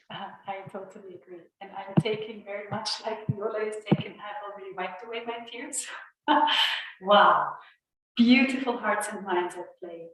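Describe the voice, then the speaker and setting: A woman co-hosting a ceremony on a video call, speaking in an enthusiastic, rambling way. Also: medium pitch. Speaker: co-host. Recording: very echoey, far from the mic, faint noise.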